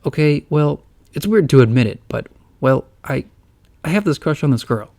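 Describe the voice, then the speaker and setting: A man voicing a shy, anxious teenage boy who talks in halting starts. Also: fairly high pitch, expressive, creaky, mellow. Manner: hesitant, halting.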